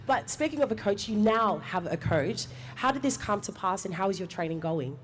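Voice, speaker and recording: A woman's voice: mid-range voice, lively intonation, normal speed, a little hiss or hum. A woman hosting a live event and interviewing guests on stage in an upbeat, encouraging manner. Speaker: event host interviewing athletes.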